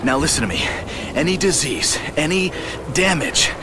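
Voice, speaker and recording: An actor voicing a hesitant middle-aged male scientist in a video game cutscene, coughing and explaining haltingly with many fillers. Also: on the high side, very animated, background noise. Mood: nervous.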